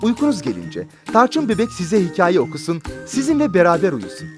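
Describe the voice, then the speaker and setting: A woman's voice: lowish voice, wildly swooping pitch, fairly quick. A woman announcing a toy commercial in an upbeat, selling way, with music playing. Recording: with background music.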